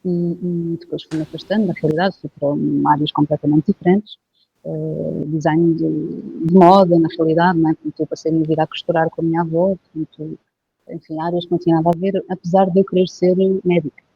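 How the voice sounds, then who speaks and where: A woman from Portugal with a European Portuguese accent, a guest herbalist telling her story in a relaxed, hesitant way with many fillers. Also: deep voice, brisk, slightly rough. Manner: reflective, hesitant, with frequent filler phrases. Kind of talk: casual interview conversation. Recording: dull, dry lo-fi recording.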